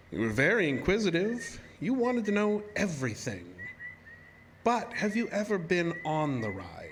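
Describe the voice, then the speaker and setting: An actor playing a politely menacing carnival illusionist in a horror audio drama, speaking in a formal, measured, mock-courteous way. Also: male voice, fairly high pitch, noisy background. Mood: probing, smooth. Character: sinister, politely menacing male carnival dark-ride illusionist.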